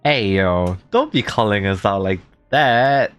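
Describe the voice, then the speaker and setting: A man's voice: on the low side, expressive, unhurried, fairly bright tone, faint music behind. A man giving casual reaction commentary while he plays a game as a streamer.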